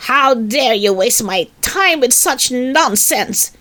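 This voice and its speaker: A woman's voice: on the high side, lively intonation, slow pace, bright, forceful tone, voice pushed up, full character voice. A woman voicing a wicked, furious male landlord in a storybook, blustering with indignation. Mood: enraged.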